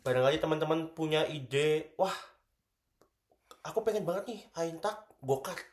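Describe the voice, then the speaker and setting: Young woman, Indonesian accent, extremely low pitch, bright forward tone, casual co-host talk, echoey room. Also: expressive, normal speed. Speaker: student association events division head and co-host. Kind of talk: casual group podcast chat. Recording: roomy, echoing room sound.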